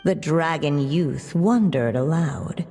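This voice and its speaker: A woman playing a composed, knowing storybook narrator in a video game, with measured, theatrical delivery. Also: sits low, mellow. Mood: knowing, inviting. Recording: long reverb, music behind.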